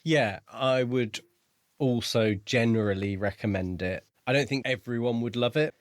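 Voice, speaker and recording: A man co-hosting a podcast, chatting about books in a measured, informal and conversational way. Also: mid-range voice, dry recording, clean studio sound. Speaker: book podcast co-host. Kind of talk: casual book discussion.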